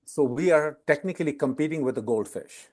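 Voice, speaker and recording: A man's voice: fairly high pitch, a little fast, a little mellow. A man who is not a native English speaker, appearing as a guest in a recorded interview over a remote call, with a wry, corrective way of talking. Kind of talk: interview conversation.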